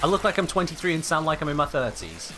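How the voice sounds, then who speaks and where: Young English male streamer, late 20s, animated and a bit high-pitched, playful and mock-offended, faint game music under. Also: fast. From England. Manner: playful, mock-indignant, reads chat aloud. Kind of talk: casual live stream chat while gaming.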